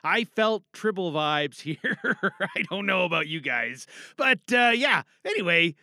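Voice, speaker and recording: A woman's voice: on the low side, big pitch swings, a little slow, bright, forceful tone. A woman chatting casually and playfully to listeners as she introduces her own monologue performance. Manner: playful, jokey.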